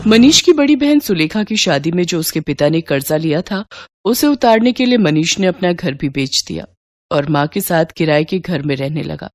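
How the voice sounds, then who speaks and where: A woman's voice: fairly low pitch, animated, moderate pace, a little mellow, no room sound. A woman narrating a scripted moral story as the storyteller.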